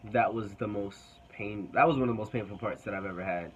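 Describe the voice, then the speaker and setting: A man's voice: lowish voice, brisk, highs cut off, a little hiss or hum. A young man casually telling a personal story to camera, hesitant and rambling with many fillers.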